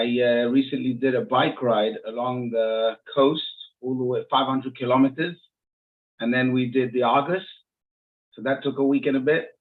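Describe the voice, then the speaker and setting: A man from Israel, a rabbi and interview guest, telling stories conversationally, often repeating and rephrasing as he explains. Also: medium pitch. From Israel, born and raised in Jerusalem. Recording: muffled phone-like narrowband audio.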